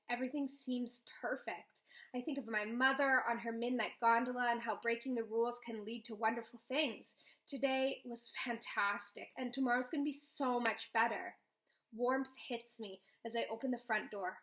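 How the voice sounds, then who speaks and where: A woman reading a children's novel aloud, with expressive first-person storytelling. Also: a little high, low-quality recording. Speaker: read-aloud host.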